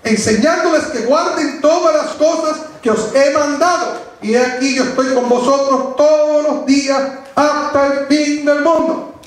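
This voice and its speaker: A heavily echoing room carries the very high-pitched voice of a man giving a sermon. He teaches doctrine emphatically, repeating key phrases for stress and putting rhetorical questions to his congregation. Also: fairly even intonation, measured pace. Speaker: preacher. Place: echoey room, preaching before a congregation. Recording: very echoey, reverberant room.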